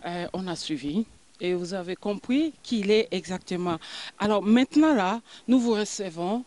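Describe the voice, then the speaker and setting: A woman in her forties interviews a guest in a sunny garden, holding the microphone herself. She hesitates often, filling gaps with drawn-out sounds, then pushes her questions up with emphatic rising lifts. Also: mid-range voice, darkish tone, a little hiss or hum. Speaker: interviewer host. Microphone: handheld mic.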